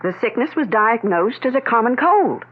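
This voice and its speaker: A woman's voice: on the high side, very animated, moderate pace, husky. An actress playing an earnest, gracious grandmother, insistent and sure of herself, in a 1970s radio drama. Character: earnest grandmother in hospital, convinced of her premonitions. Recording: muffled, phone-like 1970s radio recording.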